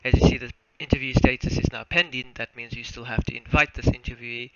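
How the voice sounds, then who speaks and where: A young South African man giving a formal, step-by-step narrated walkthrough of software. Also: mid-range voice, fairly even intonation, fast. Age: young adult. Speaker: student software developer presenting a demo. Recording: muffled lo-fi mic, faint noise, clicks.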